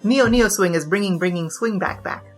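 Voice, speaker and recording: A woman's voice: medium pitch, moderate pace, close to an everyday voice. A woman playing a glib, flirtatious bandit who confesses and argues in a comedic noir audio drama. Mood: glib, argumentative. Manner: glib, digressive, argumentative. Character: brash, chatty, flirtatious female bandit king confessing her crimes. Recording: music bed under the voice.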